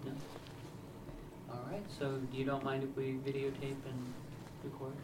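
A man in his thirties explains an interview project with halting, filler-heavy speech. He sounds distant from the mic in a roomy space, with clear background noise. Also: mid-range voice, monotone. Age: 30s. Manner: hesitant, many fillers. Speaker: interviewer and camera operator. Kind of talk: casual interview setup. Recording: echoey room, distant noisy mic.

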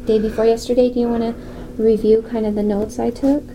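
A woman planning a lesson with her group, hesitant and filling pauses with ums, often asking what others think. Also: on the high side, little pitch movement. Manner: tentative, full of ums, asks others' views. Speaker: language learner. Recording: clear background noise.

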